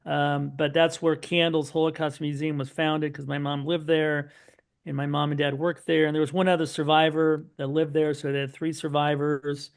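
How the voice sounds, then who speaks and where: A middle-aged man, a guest on an interview podcast, telling his family's story in a lively, anecdotal way full of asides. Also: a little high, moderate pace, gravelly, smooth. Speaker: podcast guest, son of Holocaust survivors.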